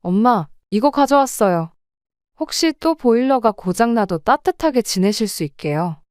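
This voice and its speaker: A woman's voice: fairly high pitch, animated, normal speed, darkish tone, no room sound, clean studio sound, lightly acted. A woman playing an earnest, protective daughter. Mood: earnest, worried. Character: devoted adult daughter, worried and indignant. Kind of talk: audio drama acting.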